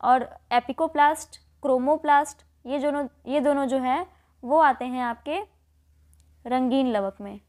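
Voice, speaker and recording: A woman teacher with a high voice and an Indian accent takes learners through exam questions. She explains each answer step by step, repeating and rewording the key points as she goes. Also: unhurried, a little mellow.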